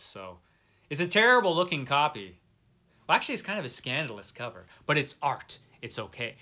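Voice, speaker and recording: A middle-aged man with a very bright, forward voice at an average pitch. He chats casually to an online audience like a book vlogger, wandering into wry, self-mocking asides. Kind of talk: casual chat to camera, book recommendations.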